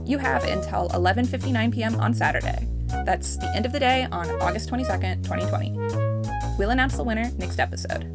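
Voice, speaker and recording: A woman's voice: fairly high pitch, quick pace. A woman reading a scripted podcast announcement as its announcer. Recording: loud music under the voice. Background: loud instrumental music.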